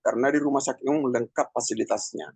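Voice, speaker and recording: A man in his fifties giving a personal testimony in a halting, conversational way, with many pauses and fillers. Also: mid-range voice, steady tone, vocal fry, darkish tone, breathy edge, dry recording. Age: 50s. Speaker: testimony speaker.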